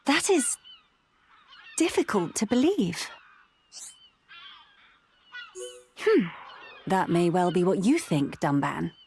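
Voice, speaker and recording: A woman's voice: lowish voice, big pitch swings, a little slow, darkish tone, slight background noise. A woman voicing a dignified, solemn young noblewoman in a video game, formal and composed. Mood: troubled, grave. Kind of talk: dramatic video game voice acting.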